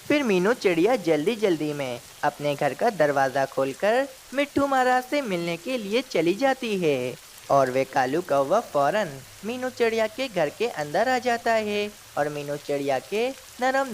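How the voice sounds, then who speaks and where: A woman's voice: fairly low pitch, big pitch swings, measured pace, a little hiss or hum. A woman narrating a scripted children's story as its storyteller.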